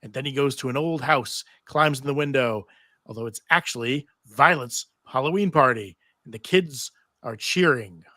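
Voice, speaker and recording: A man's voice: medium pitch, moderate pace, bright, forceful tone, dry recording, studio quality. A man narrating stage directions at a live table read.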